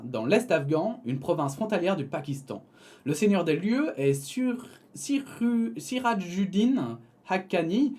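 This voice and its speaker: A man's voice: on the high side, a little mellow. A young man reading a prepared text, breaking off for casual asides full of hesitations and small laughs. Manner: reads then digresses with fillers and laughs. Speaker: YouTube commentator.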